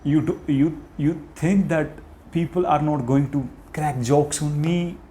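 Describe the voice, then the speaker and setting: A man's voice: a little high, fairly even intonation, measured pace, mellow, slight background noise. Earnest young man. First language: Punjabi. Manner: thinking aloud with frequent restarts and self-corrections; earnest and motivational. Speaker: vlogger and English-learning challenge host. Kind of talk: unscripted casual talk to camera.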